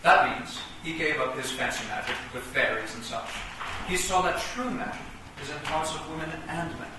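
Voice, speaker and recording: A man's voice: on the high side, animated, moderate pace, natural voice. Man acting melodramatic chess knight, echoing distantly. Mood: earnest. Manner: theatrical, earnest, declamatory. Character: melodramatic, Shakespeare-quoting male chess knight. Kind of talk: comic radio play acting. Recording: very echoey, distant, noisy room.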